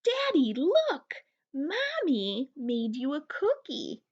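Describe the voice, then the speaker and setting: A woman's voice: high voice, huge pitch swings, takes it slowly, highs cut off, pitched above the performer's own voice, full character voice. A woman voicing a sly little schoolboy in a storybook, sweetly coaxing and drawn out.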